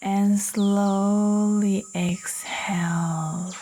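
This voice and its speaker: A woman narrating a guided meditation in a soft, soothing, breathy manner, leaving long pauses between short phrases. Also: mid-range voice, steady tone, slight background noise. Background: birdsong.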